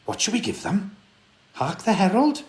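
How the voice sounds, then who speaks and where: A man's voice: high-pitched, wildly swooping pitch, moderate pace, darkish tone, echoey room, voice pushed up. A man voicing a bold, eager young boy in a story, with emphatic delivery. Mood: eager, decisive.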